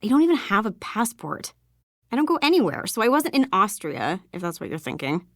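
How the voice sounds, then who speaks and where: A woman's voice: medium pitch, very animated, fairly quick, fairly bright tone, no room sound, studio quality. A woman playing a guarded young female outcast in a video game, speaking in a terse, withdrawn, sardonic way. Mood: defensive.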